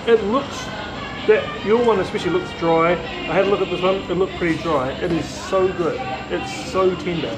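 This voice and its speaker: A man's voice: high-pitched, moderate pace, over music, poor audio. A young man in his twenties with a New Zealand accent, chatting casually while eating and reviewing diner food. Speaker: food vlogger. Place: diner booth, indoors, then parked car.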